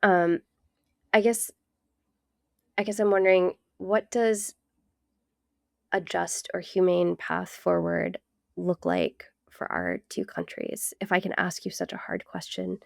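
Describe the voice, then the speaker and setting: Podcast co-host, woman, gentle and tentative, unhurried delivery, gravelly low voice. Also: expressive, no echo, clean studio sound. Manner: gentle, hesitant questioning. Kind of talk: conversational podcast interview.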